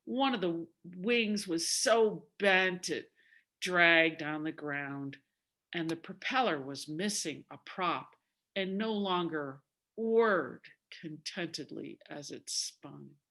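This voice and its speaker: Slow-paced woman in her sixties telling a story. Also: lowish voice, fairly bright tone. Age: 60s. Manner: dramatic, deliberate delivery with drawn-out emphasis. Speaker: storyteller at a speaking club. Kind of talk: theatrical oral storytelling.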